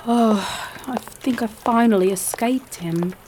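A woman's voice: a little high, a little slow, mellow, lightly acted, low-quality recording. A woman playing a polite, flustered young lady in a radio drama, with faint background noise.

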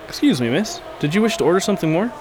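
A man's voice: sits high, big pitch swings, rapid-fire. A man playing a courteous, helpful waiter in a radio drama. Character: polite waiter in a small foreign city. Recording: clear background noise, ambience.

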